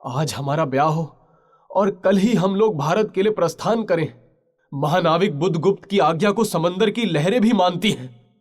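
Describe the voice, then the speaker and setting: An actor playing a proud, lovelorn mariner chief in an audio story, impassioned and pleading with halting pauses. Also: male voice, sits high. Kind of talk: Hindi literary audio story drama.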